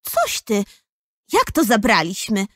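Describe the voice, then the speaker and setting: A woman's voice: medium pitch, wildly swooping pitch, slow pace, fairly bright tone, no room sound, clean studio sound, heavily acted. A female performer playing an earnest, caring, persistent schoolgirl in a children's audio drama. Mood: concerned, persuasive.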